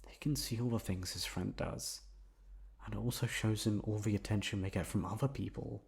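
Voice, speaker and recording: Man acting a low-voiced obsessive yandere young man. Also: heavily acted. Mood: brooding, wounded. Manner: soft-spoken, breathy asides, wounded and menacing. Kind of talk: intimate ASMR roleplay monologue.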